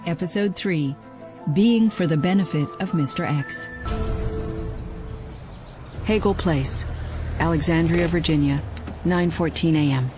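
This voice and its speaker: A woman's voice: low voice, a little slow, long pauses, music in the background. A woman announcing titles and scene settings for an audio drama in a scripted style.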